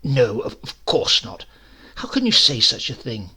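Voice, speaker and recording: A man's voice: mid-range voice, huge pitch swings, unhurried, sharp tone, breathy edge, heavily acted. A man voicing a snappish, indignant aunt in a storybook reading, curt and scolding. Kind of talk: storybook character voice.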